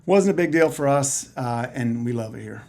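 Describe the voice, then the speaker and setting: A man's voice: medium pitch, expressive, moderate pace, a little mellow. A man in his forties with a Canadian accent, a chiropractor chatting casually as an interview guest, rambling through stories with dry, self-deprecating humor.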